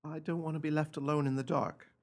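A man acting in a radio play as a nervous, imperious gentleman living in terror, theatrical and fretful. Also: steady tone, quick pace, lightly acted. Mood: fretful, stubborn. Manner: theatrical, high-strung, fretful.

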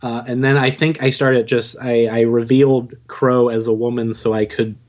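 A man being interviewed as a podcast guest, chatting casually in a halting, self-deprecating way. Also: mid-range voice, level tone, a little mellow. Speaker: podcast guest, audio drama writer and narrator. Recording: dull, lo-fi audio.

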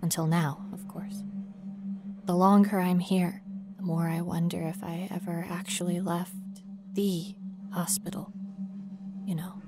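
Haunted young woman acted, low and uneasy. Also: level tone, unhurried, pauses often, music in the background. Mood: uneasy, brooding. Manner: breathy asides dropping to whispers, rising to desperate pleading. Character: frightened young woman grad student confined in hospital, paranoid. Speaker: storyteller. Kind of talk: dramatic first-person horror narration.

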